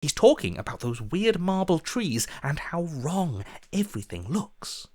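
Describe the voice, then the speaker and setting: A man acting an earnest, wry hero's inner voice in a video game, with an animated, emphatic delivery. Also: fairly high pitch, unhurried. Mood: curious, earnest. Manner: animated, sarcastic, exasperated, emphatic. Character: earnest, wry young male hero as an inner voice.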